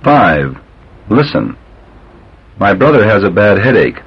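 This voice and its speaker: A man with a low, slightly husky voice reads English drill sentences with careful, deliberate enunciation. His pitch moves a lot within each line. The sound is the muffled tone of an old language tape. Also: very animated.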